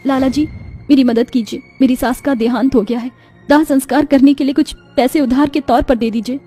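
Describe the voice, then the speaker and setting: Woman voicing a plaintive high-pitched young village daughter-in-law. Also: fairly even intonation, a little fast, dark tone, soft background music. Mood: pleading. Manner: plaintive, dramatic pleading. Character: poor grieving young village daughter-in-law, earnest and pleading. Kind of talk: Hindi moral cartoon story acting.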